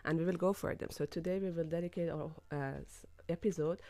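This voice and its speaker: A woman co-hosting a live radio talk show, her voice hoarse from a cold, speaking in a friendly, slightly halting way. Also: fairly low pitch, fairly even intonation, warm tone, dry recording. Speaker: radio co-host. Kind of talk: casual radio chat. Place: radio studio.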